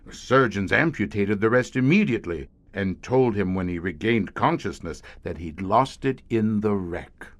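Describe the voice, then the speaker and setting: A male actor plays a haunted, learned veteran of a secret monster squad in an audio horror drama. His low voice moves slowly and deliberately, with brooding pauses and wide dramatic swells. The sound is close and dry. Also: animated, dry recording, natural voice. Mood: horrified, intense. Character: grim veteran scientist heading a secret subway monster squad.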